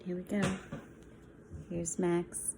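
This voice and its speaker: A woman teacher reading a picture book aloud to young children, expressively, with softer asides to explain it. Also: lowish voice, little pitch movement, slow pace, gravelly, background noise. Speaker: schoolteacher reading to young pupils.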